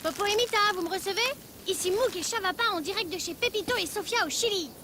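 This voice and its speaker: A woman's voice: very high-pitched, fast, sharp tone, slight background noise. A woman voicing a curious, cheerful young boy in a French children's cartoon, speaking in an inquisitive, eager way. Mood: friendly. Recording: music behind the voice.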